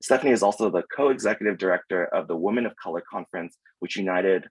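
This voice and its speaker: Deep husky male moderator, dry sound. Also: fast talker, no room sound, rough recording. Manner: scripted, with fillers. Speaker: event moderator. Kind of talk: reading speaker introductions. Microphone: video call.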